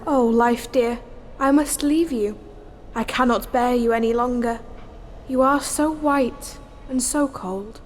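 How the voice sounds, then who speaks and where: Romantic young female dancer, stage drama, high voice, earnest delivery, long hall reverb, background noise. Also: takes it slowly. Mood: weary, pleading. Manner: earnest, declamatory. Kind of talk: theatrical stage morality play acting. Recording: noisy, reverberant hall recording.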